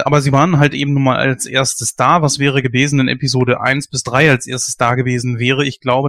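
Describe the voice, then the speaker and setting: Man, late thirties, opinionated, ranting, higher voice, dry close sound. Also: dry recording. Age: 30s. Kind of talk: casual discussion.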